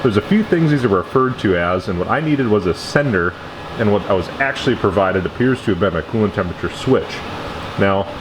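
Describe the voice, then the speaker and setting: Guy with a lowish voice talking casually thru car fixes in a garage, noisy hum behind him. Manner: informal, conversational. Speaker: car repair vlogger. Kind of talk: casual hands-on explanation. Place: indoor garage workshop.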